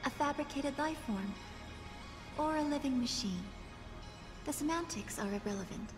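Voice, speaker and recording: A woman's voice: sits high, animated, moderate pace. An actress playing a polite young female android with a precise, detached, formal manner in a video game. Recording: game music behind the voice.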